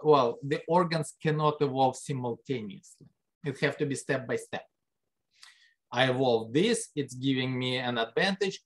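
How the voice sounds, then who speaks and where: Lively man lecturing. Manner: conversational, asks the class rhetorical questions; lively pitch jumps for emphasis. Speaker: biology lecturer. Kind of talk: recorded classroom lecture over slides.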